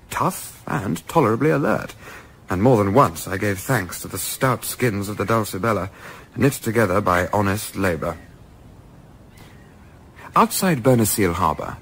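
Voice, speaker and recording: A man playing a reflective English gentleman narrator of a sailing adventure in a radio play, with measured, literary, wry phrasing. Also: on the low side, husky, exaggerated voice, poor audio.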